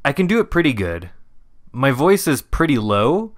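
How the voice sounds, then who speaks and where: A man's voice: medium pitch, very animated, normal speed. A man co-hosting a casual podcast, reading comments aloud for a guessing game and laughing often. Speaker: podcast co-host running a quiz segment.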